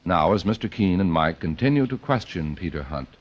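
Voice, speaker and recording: A male American announcer delivers scripted copy in vintage 1940s radio fashion. He is polished and emphatic, with lively intonation. The recording sounds dull and aged. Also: sits low, fairly quick. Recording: muffled old radio recording.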